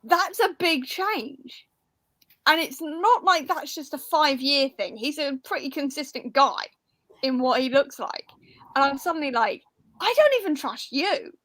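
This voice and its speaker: Casting: woman with a high voice, dry close sound, informal book panellist, emphatic storyteller. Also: expressive, measured pace, a bit bright, no room sound. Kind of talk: casual chat about books.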